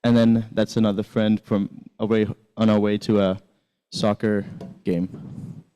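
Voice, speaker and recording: A man's voice: fairly low pitch, little pitch movement, measured pace, dark tone, smooth, highs cut off. A teenage man from Sweden giving a casual, rambling talk about his exchange year, full of fillers and restarts. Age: teens. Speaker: exchange student presenter. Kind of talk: casual presentation with slides.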